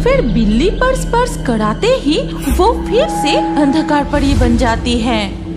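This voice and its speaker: A woman narrating a scripted fairy tale for children as the storyteller. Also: high-pitched.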